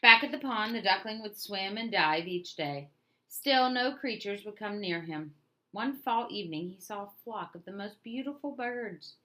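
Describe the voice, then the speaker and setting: A woman reading a children's storybook aloud in an expressive, emphatic way. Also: medium pitch, normal speed, low-quality recording. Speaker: storybook reader.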